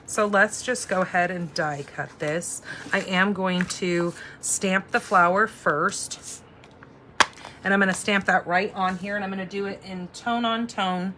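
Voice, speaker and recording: A woman in her forties hosting a casual live craft demo, chatty and thinking out loud as she works. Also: medium pitch, steady tone, unhurried, noisy background. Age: 40s. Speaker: crafting host and instructor.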